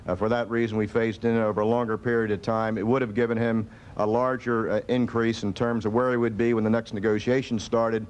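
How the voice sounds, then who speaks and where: A man's voice: flat delivery, a little fast, hardly any pauses, highs cut off. An American man, a government official, answering press conference questions in a firm, matter-of-fact way. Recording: faint rumbly background noise.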